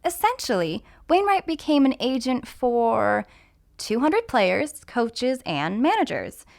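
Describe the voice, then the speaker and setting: Female audiobook narrator, higher register, highly expressive scripted storytelling. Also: slow pace, gravelly. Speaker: actor reading a mystery short story.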